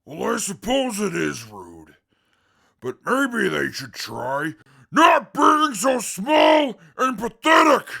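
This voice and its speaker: A man voicing a sulky, short-tempered hulking brute who scorns small folk, speaking in raspy, petulant drawls in a radio drama. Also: sits high, big pitch swings, slow pace, pushed and bright, shouting, heavily acted. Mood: scornful. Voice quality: raspy, gravelly. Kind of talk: post-apocalyptic radio drama acting.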